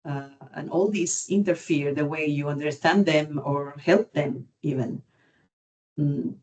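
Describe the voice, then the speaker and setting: A woman from Cuba with a Cuban Spanish accent, speaking as an expert panellist in an unscripted webinar, searching for words in halting non-native phrasing. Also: sits low, darkish tone, rough recording. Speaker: genetic counselling expert and panellist. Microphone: video call.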